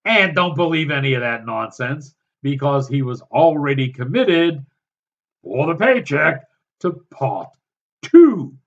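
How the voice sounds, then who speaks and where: A man reviewing a film in a sardonic, halting way, with many fillers. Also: fairly high pitch, animated, highs cut off. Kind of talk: casual film review commentary.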